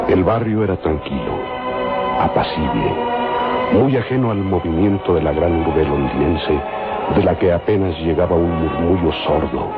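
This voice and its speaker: A man narrating a scripted radio serial in a solemn, literary way with measured phrasing. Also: very deep voice. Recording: dull lo-fi old radio recording. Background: music.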